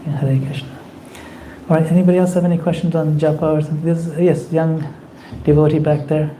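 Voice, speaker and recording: A man leading a devotional class and answering questions in an earnest, kindly advising manner. His voice sits a little high and sounds mellow. There is a faint hiss behind him. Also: level tone, a little fast, low-quality recording. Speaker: class leader taking questions. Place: community temple class.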